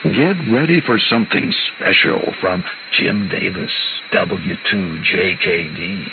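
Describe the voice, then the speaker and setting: A man's voice: deep voice, very animated, slow, gravelly. A man anchoring a scripted amateur radio newscast, heard over shortwave radio. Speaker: news anchor. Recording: noisy narrow-band shortwave radio reception. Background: shortwave static.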